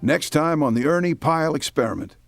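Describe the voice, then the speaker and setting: A man's voice: fairly high pitch, brisk, creaky. An actor playing a folksy, assured male announcer for a radio serial, reading scripted lines. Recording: music behind the voice.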